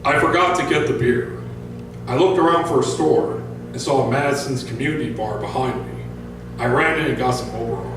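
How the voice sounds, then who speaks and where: An American man speaking as an author at a public reading, casual and halting, with a self-deprecating, informal way of talking. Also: medium pitch. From northern Michigan, United States. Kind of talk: casual spoken introduction and story reading to a small audience. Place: bar-like event venue, recorded from across the room. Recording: echoey venue, distant mic, music behind.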